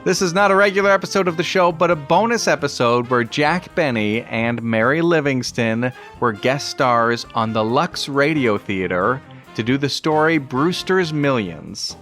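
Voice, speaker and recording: A man's voice: mid-range voice, normal speed, a bit bright, soft background music. A man hosting a podcast, giving a scripted introduction to an episode. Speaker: podcast host.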